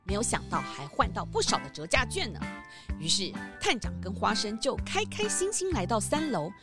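A woman narrating a children's audio drama in an expressive storytelling style. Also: medium pitch, moderate pace. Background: music.

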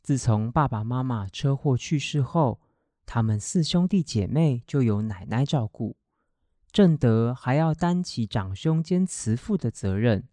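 A man's voice: medium pitch, expressive, a little slow, dark tone, no echo. Dry-sounding male story narrator. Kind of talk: scripted storytelling narration for radio drama.

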